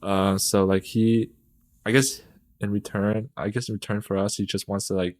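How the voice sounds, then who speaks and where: A man's voice: lowish voice, flat delivery, normal speed, dry recording. Rambling young man, dry close sound. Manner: rambling, many fillers and laughs. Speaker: podcast guest. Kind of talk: casual interview chat.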